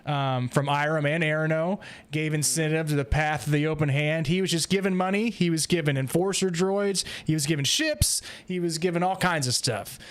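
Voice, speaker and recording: A man hosting a podcast, casually recapping and discussing a story in an animated way. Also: a little high. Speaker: podcast host.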